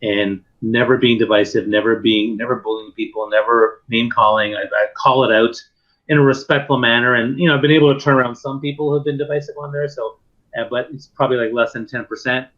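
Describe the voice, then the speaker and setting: A man's voice: mid-range voice, fairly even intonation, a little fast, dull audio. A man hosting an interview who speaks thoughtfully and lays out his points in order, with frequent fillers. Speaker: interview host, keynote speaker. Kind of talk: casual interview conversation.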